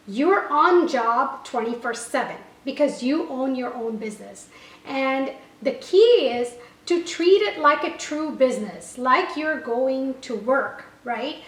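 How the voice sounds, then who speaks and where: A woman whose first language is Gujarati, an online language teacher and business owner, giving casual advice to camera and correcting herself often. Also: high voice. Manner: conversational, frequent "you know" and self-corrections. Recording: roomy echo, a little far from the mic.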